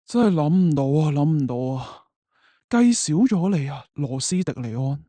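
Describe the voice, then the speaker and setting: A man's voice: on the high side, sing-song, moderate pace, dark tone, no echo. A man playing a smug, mocking villain king in a Chinese radio drama. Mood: taunting. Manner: mocking, theatrical.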